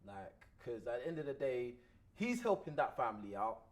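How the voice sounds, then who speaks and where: A man's voice: mid-range voice, sing-song, moderate pace. A man with a London accent, giving blunt, slangy opinions in a casual podcast debate.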